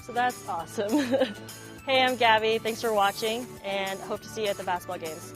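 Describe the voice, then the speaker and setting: A woman's voice: fairly high pitch, steady tone, moderate pace, poor audio. A young American woman in her early twenties, a college athlete talking casually about herself for a profile. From Las Vegas, United States. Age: early 20s. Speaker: college basketball player being profiled. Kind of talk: casual interview talk to camera. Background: music.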